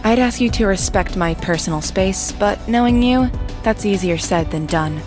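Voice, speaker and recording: A composed, no-nonsense young woman who works security, played by an actress. Her voice is medium in pitch, with dry, firm delivery in audio drama style. Music is clearly heard behind her. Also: normal speed, close to an everyday voice. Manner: level-headed, dry, firm.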